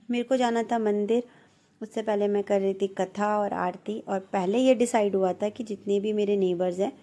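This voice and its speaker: Slightly hoarse woman from india in her 30s, chatty home vlog voiceover, warm mellow tone, easy and relaxed. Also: mid-range voice. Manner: chatty, relaxed, self-teasing. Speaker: vlogger. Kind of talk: casual voice-over narration.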